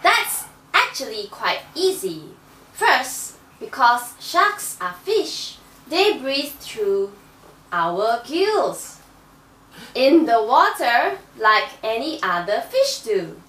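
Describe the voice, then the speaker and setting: A woman's voice: a little high, big pitch swings, extremely slow, pushed and bright, slight background noise, heavily acted. A woman voicing a know-it-all shark puppet who teaches patiently and exclaims, for a children's educational show. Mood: explaining. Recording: roomy echo, distant microphone.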